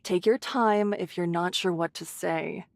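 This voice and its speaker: A woman voicing a caring, brisk shelter leader who scolds in a motherly, teasing way, in an English anime game. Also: lowish voice, fairly even intonation, vocal fry, no room sound. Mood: teasing, scolding.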